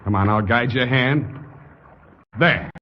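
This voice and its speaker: A man's voice: mid-range voice, slow, natural voice. Wry tough-guy private eye, played by a male actor, sardonic and clipped, old radio drama, dull noisy recording. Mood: insistent, coaxing. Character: wry hard-boiled male private detective. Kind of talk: 1940s radio drama acting.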